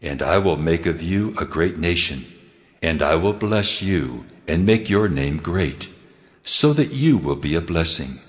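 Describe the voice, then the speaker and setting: A man voicing God as a solemn, commanding deity in a dramatized scripture reading, with measured authority. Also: low voice, lively intonation. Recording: long cavernous reverb.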